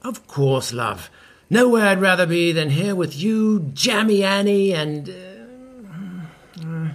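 An actor playing a washed-up, breezy, shameless rock star in an audio comedy, rambling and flippant. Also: male voice, sits high, animated, slow, heavily acted. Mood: sheepish, evasive. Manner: rambling, chuckling, slangy and flippant.

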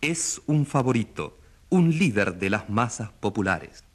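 A man's voice: on the low side, sing-song, a little slow, husky, full character voice. A man acting a radio studio announcer, reading scripted profiles formally and with emphasis in a radio drama. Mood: formal, emphatic.

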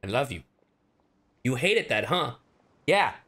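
Animated, sweary male game streamer. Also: medium pitch, slow. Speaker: streamer and voice actor. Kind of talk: casual live game stream chat. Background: video game music.

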